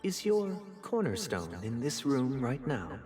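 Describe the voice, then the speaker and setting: An actor voicing a composed, fastidious, menacing male head of a powerful family in a video game, speaking in a measured, formal, commanding way. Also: medium pitch, wildly swooping pitch, a little mellow. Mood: interrogating. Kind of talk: dramatic video game voice acting. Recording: long reverb, game music underneath.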